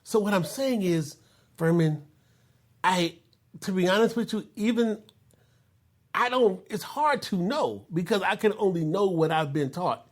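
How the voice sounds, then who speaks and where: A man's voice: sits high, expressive, measured pace. A man in his fifties hosting a casual podcast, telling stories with frequent laughs and lots of "you know". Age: 50s. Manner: storytelling, laughs often, says "you know" a lot. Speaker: podcast host.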